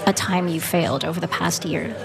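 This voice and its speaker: A woman's voice: on the low side, brisk, creaky, music in the background. A woman hosting an interview, asking open, probing questions. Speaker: interviewer, host. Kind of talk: podcast interview.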